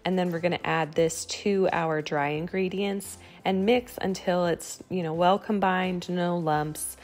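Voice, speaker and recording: A woman's voice: fairly low pitch, fairly even intonation, unhurried. An American woman giving a casual recipe voice-over in a conversational, explanatory way, as a home baking vlogger.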